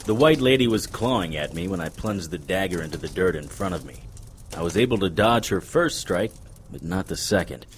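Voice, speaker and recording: A cool, wry male occult detective tells his tale in noir audio drama style. The voice sits low and dry, with faint noise behind it. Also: animated, a little fast. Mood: grim.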